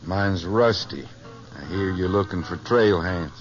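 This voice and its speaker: An actor in a 1950s radio western playing a seasoned American cowhand, casual and folksy. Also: male voice, low voice, a little slow, soft background music. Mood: matter-of-fact. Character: plainspoken, seasoned male cowhand. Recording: muffled old radio recording, faint noise.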